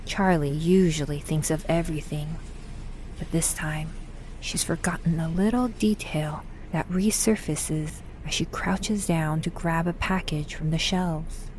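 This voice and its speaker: A woman narrating a scripted audio drama in a measured storytelling manner. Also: sits low, steady tone, a little mellow, slight background noise, poor audio.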